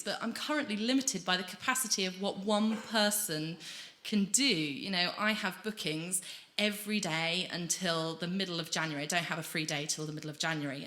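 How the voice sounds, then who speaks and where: A woman's voice: mid-range voice, bright, forceful tone. Earnest young woman presenting. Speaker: conference speaker, schools anti-homophobia worker. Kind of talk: conference presentation to an audience. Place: auditorium stage at a lectern, indoors. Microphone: gooseneck lectern microphone.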